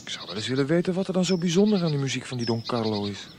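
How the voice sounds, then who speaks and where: An actor playing an eager, inquisitive newcomer at court in a radio drama, earnest and a little hesitant. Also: male voice, mid-range voice, big pitch swings, racing, heavy background noise, natural voice.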